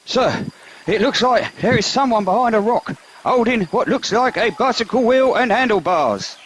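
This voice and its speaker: A man's voice: high-pitched, lively intonation, normal speed, fairly bright tone, slight background noise, poor audio. A man playing an eager, deferential old soldier serving as a starship security chief in a comic radio play, talking in a wordy, rambling way. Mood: earnest.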